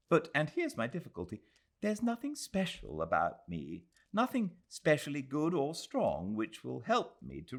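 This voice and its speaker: A man's voice: medium pitch, a bit bright, pitched above the performer's own voice, exaggerated voice. A man voicing an earnest, self-doubting young British woman in an audiobook, with a hesitant, genteel manner. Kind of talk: audiobook character voice.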